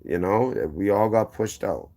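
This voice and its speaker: A man's voice: on the low side, measured pace, mellow. A man with a strong Boston accent, from the city's inner neighborhoods, telling his story as a guest in a rambling, tangent-filled way that keeps circling back and correcting itself. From inner-city Boston. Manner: rambling, drifting between tangents and correcting himself. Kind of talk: casual storytelling.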